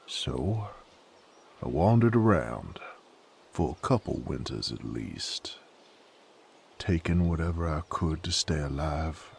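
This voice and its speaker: A gruff, world-weary knight played by a male actor with an extremely deep, gravelly voice. He talks very slowly in rough, rustic, brooding phrases, as in an intimate audio drama. Faint but clear outdoor noise sits behind him. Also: animated, a little mellow, full character voice. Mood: wistful, subdued. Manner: rough rustic folksy speech, brooding, halting. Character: sadist knight, gruff former carnival drifter, weary and brooding. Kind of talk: dramatic roleplay audio monologue.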